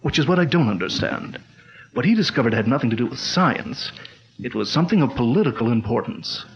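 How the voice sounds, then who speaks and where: An actor playing a calm, commanding male crime-fighter chief in a 1940s-style radio drama, speaking in a measured, steady way. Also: medium pitch. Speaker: lead actor. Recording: dull lo-fi old radio recording.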